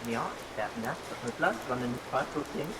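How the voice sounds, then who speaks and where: An actor playing a nervous, cheeky hired servant, jittery and wisecracking, in a comic vintage radio melodrama. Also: male voice, medium pitch, lively intonation, quick pace, lightly acted. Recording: roomy noisy vintage radio, heavy rain.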